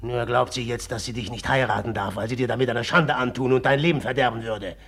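An actor playing a brooding, bitter, obsessively jealous suitor in a German radio play, speaking haltingly with a rough edge. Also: male voice, medium pitch, fairly bright tone. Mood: bitter, agitated. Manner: halting and brooding, breaking into taunting outbursts.

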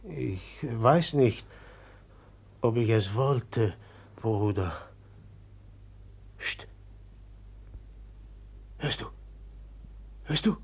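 Husky, slow, haunted murderer. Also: male voice, medium pitch, expressive, full character voice. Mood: haunted, fearful. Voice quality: husky, breathy. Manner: halting, secretive, dazed. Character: haunted, brooding murderer, feverishly confiding in his friend. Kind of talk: German literary radio drama acting.

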